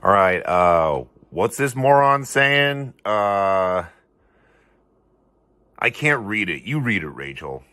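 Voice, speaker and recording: A man voicing a gruff, dismissive male sidekick on a comedic livestream. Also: on the low side, sing-song, takes it slowly, bright, forceful tone, no echo, clean studio sound, full character voice. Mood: grumpy.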